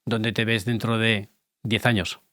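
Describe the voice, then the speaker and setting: A man's voice: on the low side, little pitch movement, unhurried, sharp tone, dry recording, clean studio sound. A man hosting a dental podcast, asking short friendly questions and closing the episode. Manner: brief affirmations, friendly questions. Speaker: podcast host. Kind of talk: interview questions and sign-off.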